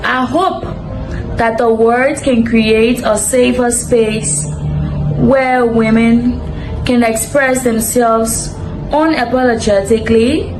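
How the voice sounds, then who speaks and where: Slow woman over music. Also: fairly high pitch, fairly even intonation, rough recording. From Liberia. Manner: slow, deliberate recitation. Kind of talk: short recorded personal statement. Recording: voice over loud background music.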